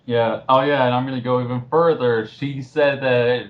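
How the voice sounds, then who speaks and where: A man's voice: medium pitch, fairly even intonation, normal speed. A man chatting casually and mockingly as a co-commentator, snide and blunt, on a lo-fi voice-chat recording.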